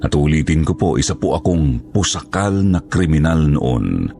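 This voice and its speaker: A man's voice: a bass voice, animated, moderate pace, gravelly, dark tone, a little hiss or hum, full character voice. A man voicing a regretful older ex-criminal recounting his past in scripted first-person horror storytelling, earnest and confessional. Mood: earnest, guarded.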